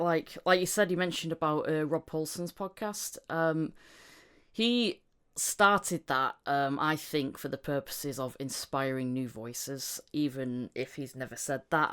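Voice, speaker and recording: A woman's voice: a bass voice, clean tone. A woman hosting a casual interview, talking in an encouraging, reflective way. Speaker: podcast host.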